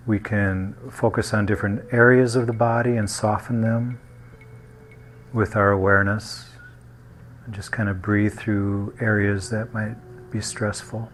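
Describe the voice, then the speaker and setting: A man guiding a meditation in a gentle, unhurried manner, leaving long pauses between short phrases. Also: on the low side, little pitch movement, a little hiss or hum. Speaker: meditation guide. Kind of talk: guided meditation.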